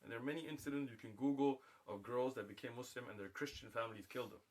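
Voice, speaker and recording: A man's voice: mid-range voice, very fast. A man from the United States telling a long anecdote in casual livestream talk, acting out the people in it. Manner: animated, acts out voices in anecdotes.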